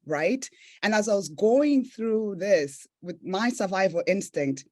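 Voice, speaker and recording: A woman's voice: mid-range voice, a little mellow. A woman from East Africa, a business owner and coach, telling her personal story earnestly and reflectively as a podcast guest. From Tanzania and Zambia, East Africa. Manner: earnest, reflective, with frequent "right?" tags.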